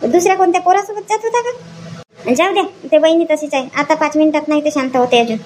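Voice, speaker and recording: Woman voicing a very high-pitched woman. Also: quick pace, darkish tone, a little hiss or hum, close to an everyday voice. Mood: explaining. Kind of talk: animated family comedy dialogue.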